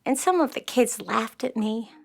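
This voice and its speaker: Nine-year-old know-it-all girl, earnest and eager, high and very animated. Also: normal speed, vocal fry, faint music behind. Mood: confiding. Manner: earnest, chatty, explaining. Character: bright, eager, spirited nine-year-old girl who loves facts. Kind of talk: children's audio play acting.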